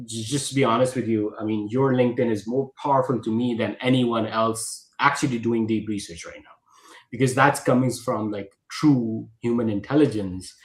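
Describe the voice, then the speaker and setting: A man's voice: lowish voice, roomy sound. A man from Pakistan hosting a podcast, talking animatedly with emphatic stresses and frequent restarts. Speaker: podcast host. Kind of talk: conversational podcast discussion.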